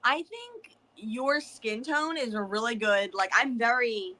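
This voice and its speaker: Woman co-host, bright voice, Northern US accent with a bit of Southern, agreeable. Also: fairly high pitch, expressive, unhurried. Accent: US Northern with a slight Southern touch. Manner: agreeable, frequent "yeah", rambling. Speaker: book club co-host. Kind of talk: casual livestream chat.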